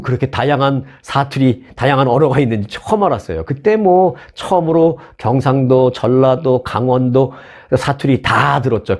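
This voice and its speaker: A man's voice: mid-range voice, a little mellow. A man from Seoul hosting a news commentary show in a chatty, conversational way, with asides and rhetorical questions. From Seoul, South Korea.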